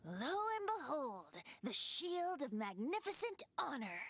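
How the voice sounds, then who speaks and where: A woman's voice: sits high, sing-song, slow, full character voice. A woman voicing a playful young male bard in an anime game, breezy and theatrical, with a lilting poetic delivery. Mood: grand, poetic. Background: music.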